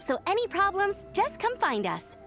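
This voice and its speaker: Young female knight: chatty, eager, soft music behind. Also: very high-pitched, wildly swooping pitch, normal speed, full character voice. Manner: chatty and upbeat. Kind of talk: game voice acting.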